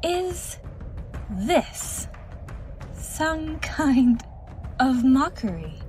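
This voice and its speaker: A woman's voice: high-pitched, big pitch swings, drawn-out words, darkish tone, faint music behind, full character voice. A woman voicing a haughty, contemptuous immortal mermaid empress in a fantasy audio drama, imperious and theatrical. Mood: disdainful.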